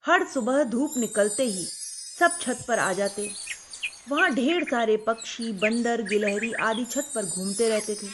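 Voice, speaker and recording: Woman storyteller, high voice, faint chime music underneath; bedtime narration for kids. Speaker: children's storyteller.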